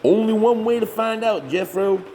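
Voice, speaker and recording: A man's voice: high voice, normal speed, dark tone, slight background noise, natural voice. A man acting in a comedy horror audio drama as a glib, bossy hillbilly older brother with a rural Southern US accent. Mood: smug, persuasive. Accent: rural Southern US, hillbilly dialect. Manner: folksy, self-righteous, glib. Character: bossy, shameless hillbilly monster hunter, scheming older brother.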